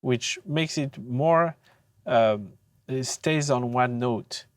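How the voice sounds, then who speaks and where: An audio tutor gives an informal demonstration of software at a slow pace. The delivery is halting and hesitant, with frequent pauses, and the sound is very dry. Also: mid-range voice, no room sound.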